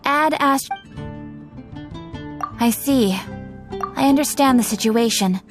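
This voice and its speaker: An actress playing a polite, composed guild receptionist in a video game, speaking in a formal, businesslike way. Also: female voice, sits high, takes it slowly, music in the background. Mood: helpful, composed. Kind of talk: game voice acting.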